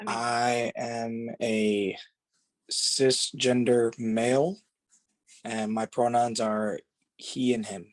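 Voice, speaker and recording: A man's voice: medium pitch, level tone, drawn-out words. A man of thirty giving short, clipped interview answers. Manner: terse, clipped.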